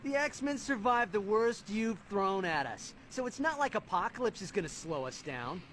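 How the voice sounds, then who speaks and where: A high, youthful wisecracker of a game superhero. He is cocky and sarcastic, with very lively swings of pitch. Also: normal speed, slight background noise. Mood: mocking. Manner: sarcastic, flippant. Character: cocky young male superhero, wisecracking, flippant and boyish.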